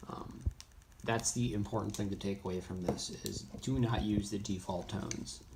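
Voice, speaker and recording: A man with a creaky, gravelly voice walks through a hands-on tutorial in a loose, informal way. His explanations ramble a little and lean on conversational asides. The recording is clearly noisy, with clicks and typing. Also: lowish voice, fairly even intonation, normal speed. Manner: informal, rambling explanations with frequent "you know". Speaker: radio tutorial presenter. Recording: noisy, with keyboard and clicking sounds.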